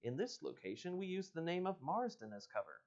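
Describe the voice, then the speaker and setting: A man's voice: fairly high pitch, very animated, a little fast, creaky, a little mellow, highs cut off, voice pushed up. A man voicing a courteous, evasive spokesman of a secretive organization in a storybook, speaking formally and politely. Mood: smooth recruiting pitch. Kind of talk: storybook character reading.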